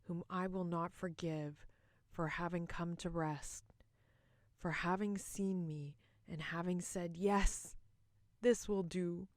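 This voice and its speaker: A woman performing a theatrical monologue as a bitter, boozy middle-aged wife, mocking and self-loathing, with dramatic pauses and swings between loud and hushed. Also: lowish voice, fairly even intonation, no echo, clean studio sound. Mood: anguished, regretful.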